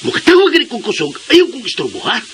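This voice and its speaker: An actor in a radio drama playing an excitable, comic man who whines, yelps and complains. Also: very high voice, fast talker, a little hiss or hum, heavily acted. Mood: whiny, excited.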